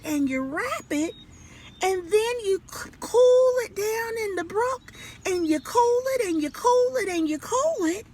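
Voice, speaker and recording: A high, swooping storybook mama possum, put on by a woman, scolds in exasperation. She stretches her words emphatically, as if lecturing a child. There is light background noise. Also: heavily acted.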